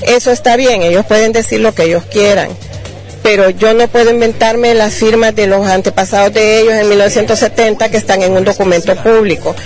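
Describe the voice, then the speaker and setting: A woman interviewed outdoors, calmly and firmly defending her claim in detail. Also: medium pitch, a little fast, soft background music. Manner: firm, detailed, self-defending. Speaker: landowner's granddaughter. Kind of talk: street news interview.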